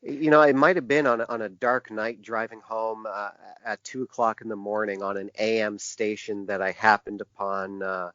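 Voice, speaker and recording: A man answering interview questions as a designer, in a conversational but halting way, often restarting his phrases. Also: lowish voice, little pitch movement, a bit bright, no echo. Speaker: podcast guest, book designer. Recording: dull, band-limited lo-fi sound.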